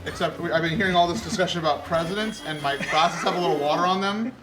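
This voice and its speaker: A man's voice: a little high, quick pace, music in the background, low-quality recording. A man co-hosting a live gaming stream, chatty and self-deprecating as he tells little stories. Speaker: marathon co-host. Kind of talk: casual live-stream banter.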